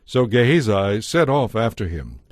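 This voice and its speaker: A man's voice: lowish voice, sing-song, moderate pace, dry recording. A man narrating a dramatized audio Bible as a scripted story, recorded close. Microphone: close, dry studio microphone. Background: faint music.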